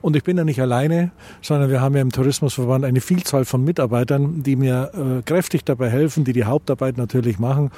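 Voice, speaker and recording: A man's voice: mid-range voice, fast, few pauses, dark tone, dry recording. A middle-aged man from Bavaria with a Bavarian accent, answering interview questions as a tourism association president, hesitant with many fillers and restarts.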